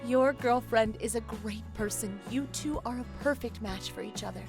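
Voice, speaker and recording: Woman playing smug young female colleague, condescending, needling, slightly high voice, manga dub, prominent background music. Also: normal speed, vocal fry. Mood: subdued, apologetic. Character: beautiful, mean-spirited, smug young office woman colleague. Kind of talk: dubbed manga story acting.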